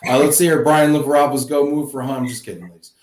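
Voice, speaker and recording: A man's voice: medium pitch, steady tone, quick pace, a little mellow. A male show host keeping a casual video-call interview light with playful, joking banter. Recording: echoey room.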